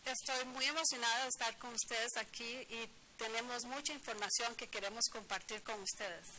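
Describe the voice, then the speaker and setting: A woman interprets each point right after the speaker, with small fillers and self-corrections. Her voice sits a little high, and clear background noise runs under it. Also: steady tone, bright, forceful tone, poor audio. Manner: brisk, with fillers and restarts. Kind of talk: consecutive interpreting. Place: studio set, seated.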